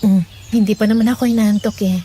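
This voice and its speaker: A woman's voice: medium pitch, fairly even intonation, fast, warm tone, a little hiss or hum, natural voice. A woman playing an anxious, modest young village woman in a horror audio drama, hesitant and worried.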